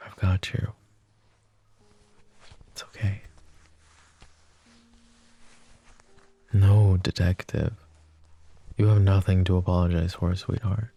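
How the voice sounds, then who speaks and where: A man's voice: sits low, little pitch movement, a little slow, creaky, long pauses, mellow, no room sound, exaggerated voice. A man playing a smitten, self-deprecating mafia boss in an intimate ASMR romance roleplay, murmuring hesitantly and teasingly. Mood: tender, remorseful.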